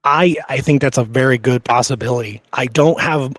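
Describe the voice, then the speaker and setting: A man's voice: mid-range voice, normal speed, no echo, clean studio sound. A man co-hosting a conversational history discussion, repeating points for emphasis. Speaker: podcast co-host. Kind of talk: conversational historical discussion, sometimes reading quotes.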